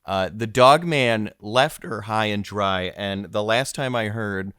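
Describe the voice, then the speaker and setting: A man's voice: lowish voice, takes it slowly, fairly bright tone, smooth, no echo, studio quality. A man hosting a mock-serious comedy interview, hesitant and stammering, sounding awkwardly earnest. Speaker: podcast host.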